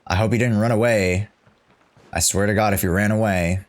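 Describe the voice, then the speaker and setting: A man's voice: fairly low pitch, fairly even intonation, fairly quick. A man giving casual reaction commentary on a game, speaking bluntly, swearing and repeating himself as he reasons aloud. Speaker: streamer reacting to a video game story.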